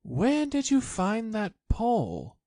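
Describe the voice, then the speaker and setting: A man voicing a kind, earnest young boy who gently leads his animal friends in a children's storybook. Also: high voice, wildly swooping pitch, slow, no echo, studio quality, voice pushed up, heavily acted. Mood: earnest, solemn. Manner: earnest, kindly.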